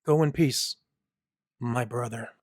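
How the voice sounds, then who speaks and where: Guy as a protective mouse buddy, firm n earnest, medium pitch, dry recording, audio drama. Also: takes it slowly, a little mellow, dry recording, natural voice. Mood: solemn, moved. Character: loyal, protective male mouse comrade.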